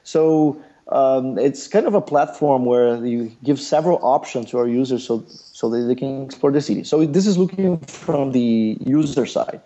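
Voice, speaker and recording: Brazilian man explaining in halting English. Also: mid-range voice, dark tone, dull audio. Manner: thoughtful, many pauses and fillers. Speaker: startup founder. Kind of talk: business interview.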